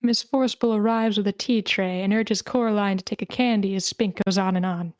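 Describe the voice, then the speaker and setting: A woman's voice: medium pitch, steady tone, brisk, a little mellow, no echo, studio quality. A woman reading screenplay scene directions aloud as the narrator of a table read.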